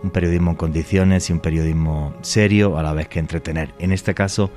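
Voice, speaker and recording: A man's voice: sits low, steady tone, brisk, a little mellow, faint music behind. A man hosting a radio podcast, speaking in an earnest, opinionated and expansive way as he introduces the episode and his guest. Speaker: radio host.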